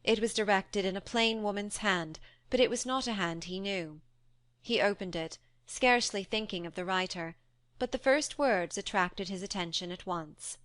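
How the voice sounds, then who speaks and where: Smooth-voiced woman narrating a story. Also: mid-range voice, no echo. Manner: measured, expressive storytelling. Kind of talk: audiobook dramatic reading.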